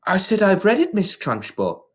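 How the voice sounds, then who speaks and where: A reader voicing a small, clever schoolgirl from a storybook, polite and hesitant yet quietly bold. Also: mid-range voice, wildly swooping pitch, moderate pace, voice pushed up. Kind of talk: storybook character voice in a read-aloud.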